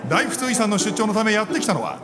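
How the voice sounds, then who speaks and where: A man playing an eager, pushy department boss and narrator in a live radio drama under loud music. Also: high-pitched, very animated, fast. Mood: theatrical.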